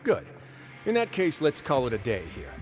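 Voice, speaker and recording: A man playing a composed, wry fortress chief, speaking in a measured, courteous and authoritative way in an anime-style video game. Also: a little high, huge pitch swings, soft background music. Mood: dry amusement.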